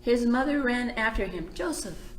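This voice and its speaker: A woman reading a picture book aloud to children as a library storyteller, expressive and emphatic, with chatty asides. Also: lowish voice, measured pace, echoey room, poor audio.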